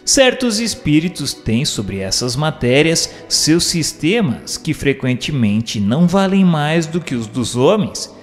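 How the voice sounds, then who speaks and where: A man's voice: on the high side, sing-song, a little slow, hardly any pauses. Man narrating formally in a long hall reverb. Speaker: narrator reading questions and commentary. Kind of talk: scripted audiobook narration of a spiritist text. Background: music.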